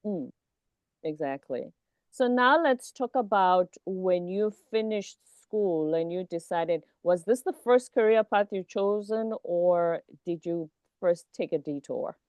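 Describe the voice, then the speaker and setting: Lady podcast host, lowish warm voice, dry close sound, keen and emphatic. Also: expressive, slow, clean tone, dry recording. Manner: engaged, emphatic, affirming. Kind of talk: interview conversation.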